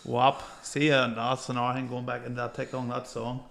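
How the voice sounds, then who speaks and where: A man's voice: mid-range voice, completely flat delivery, normal speed, gravelly, a bit bright. Sweary co-host guy, blunt, dry digs, plain middle voice. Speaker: podcast co-host. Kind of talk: casual banter podcast.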